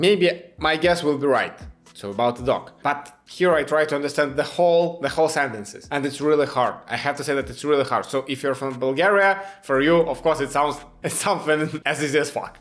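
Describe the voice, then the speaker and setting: A man's voice: fairly high pitch, animated, fast, a bit bright. Russian-accented man chatting through reactions. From Belarus. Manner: chatty, laughs often, swears casually. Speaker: reaction video host. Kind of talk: casual reaction commentary.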